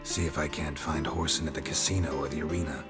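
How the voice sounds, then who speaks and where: A stoic fantasy game monster hunter with a very deep voice. He speaks in clipped, deadpan phrases, over clearly audible music. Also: fast. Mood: deadpan, businesslike. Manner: laconic, deadpan, clipped sentences. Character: terse, stoic monster-hunting mercenary man. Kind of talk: video game voice acting. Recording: music behind the voice.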